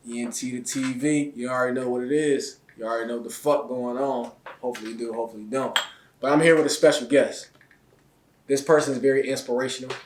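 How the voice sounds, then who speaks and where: A man hosting a casual interview with a relaxed mid-range voice, sitting a little back from the mic. The room rings around him and there is a faint hiss underneath. He talks in loose street slang and hypes up his guest. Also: medium pitch. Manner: loud hyped opener, then relaxed street slang. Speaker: interview show host. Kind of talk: casual hip-hop interview intro and questions. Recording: roomy, slightly distant mic with faint noise.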